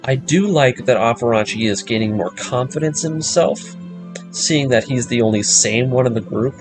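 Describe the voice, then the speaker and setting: A man with a mid-pitched voice hosts a story recap series over clearly audible background music. He narrates in a scripted storytelling style and mixes in casual, fan-style commentary. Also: moderate pace. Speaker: host of an anime story breakdown series.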